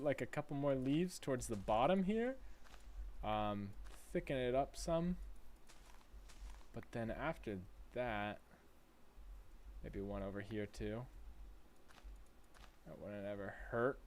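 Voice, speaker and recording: A man's voice: medium pitch, animated, slow pace, long pauses, a little mellow, a little hiss or hum. A man chatting casually on a gaming livestream, playful, reading chat aloud and laughing often. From United States.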